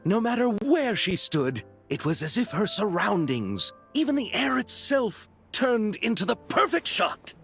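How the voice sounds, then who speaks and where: A man's voice: high voice, very animated, unhurried, hardly any pauses, fairly bright tone, heavily acted. A man voicing a flamboyant, dreamy film producer in a game, speaking in theatrical, florid phrases. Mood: effusive. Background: music.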